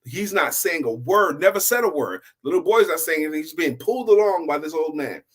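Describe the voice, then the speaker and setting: Higher-voiced man telling an inspirational story. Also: animated, slightly rough. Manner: deliberate, emphatic, repeats phrases for effect. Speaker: storyteller and host of a story show. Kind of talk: motivational oral storytelling to online viewers.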